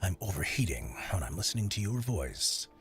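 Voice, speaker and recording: A man's voice: sits low, big pitch swings, moderate pace, fairly bright tone. A man streaming while he edits voiceover audio, chatting loosely with his viewers and rambling between tasks. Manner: rambling, chatty, answers chat while working. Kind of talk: casual live-stream chat.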